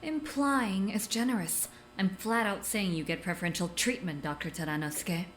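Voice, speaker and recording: A woman's voice: medium pitch, normal speed, slight background noise, lightly acted. A woman playing a dry, cutting woman doctor. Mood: scornful. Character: sharp-tongued woman doctor, blunt and scornful. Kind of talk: audio drama acting.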